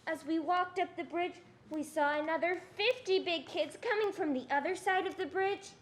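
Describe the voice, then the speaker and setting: Young woman as a very high-voiced little sister. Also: moderate pace, a little hiss or hum. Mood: wide-eyed. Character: nine-year-old girl, curious little sister. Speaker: student performer. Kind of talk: readers theatre narration.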